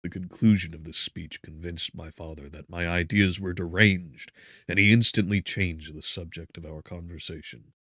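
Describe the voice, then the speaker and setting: A man narrating a gothic novel as an audiobook, in a solemn, measured and theatrical manner. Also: low-pitched, fairly even intonation, fairly bright tone, no room sound, clean studio sound.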